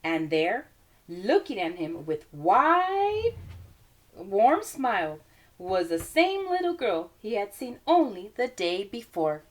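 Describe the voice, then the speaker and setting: A woman storyteller reading a children's picture book aloud in an expressive manner. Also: medium pitch, slow.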